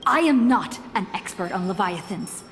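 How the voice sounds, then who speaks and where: A woman's voice: medium pitch, expressive, normal speed, lightly acted. Woman as formal, sincere young envoy; reverberant, music under. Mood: earnest. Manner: earnest, formal. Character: earnest young female envoy pleading before a council. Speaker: game character. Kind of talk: video game voice acting. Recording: long reverb over music.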